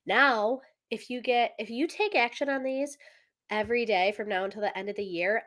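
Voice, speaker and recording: A woman hosting her own podcast, talking casually about business with lots of fillers, her voice a little congested and rough. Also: mid-range voice. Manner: chatty, many fillers, thinks aloud with math. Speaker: podcast host. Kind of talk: casual solo business talk.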